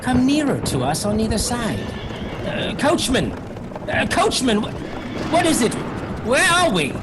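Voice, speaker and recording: A man's voice: extremely high pitch, wildly swooping pitch, full character voice. An actor playing an anxious young English lawyer's clerk recounting his journal in a hesitant, foreboding audio drama style. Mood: earnest, then alarmed. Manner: hesitant, foreboding, with halting repetitions. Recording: clear background noise, carriage ambience.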